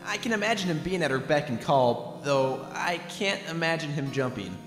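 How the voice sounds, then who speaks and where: A man's voice: on the high side, animated, normal speed, fairly bright tone. A man voicing an awkward young male lawyer, hesitant and wry, in an amateur game fandub. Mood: wry, inquiring. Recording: music faintly behind the voice.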